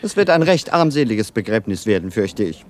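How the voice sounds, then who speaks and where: A man's voice: fairly low pitch, very animated, brisk. A man acting a gossipy, mocking merchant in a 1960s German radio drama, with a sardonic delivery. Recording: 1960s radio recording, faint noise.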